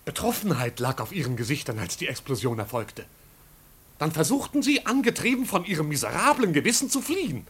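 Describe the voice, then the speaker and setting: An actor playing a pompous, flustered but loyal manservant in a 1950s German radio drama, with ceremonious, convoluted phrasing. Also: male voice, fairly high pitch, big pitch swings, brisk, fairly bright tone, breathy edge, heavily acted. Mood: agitated reporting.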